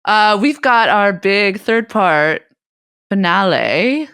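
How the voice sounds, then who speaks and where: A woman's voice: medium pitch, animated, slow pace, fairly bright tone, clear, resonant tone, no room sound, clean studio sound. A woman co-hosting a podcast in casual chat, teasing her partner and laughing often. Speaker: podcast co-host.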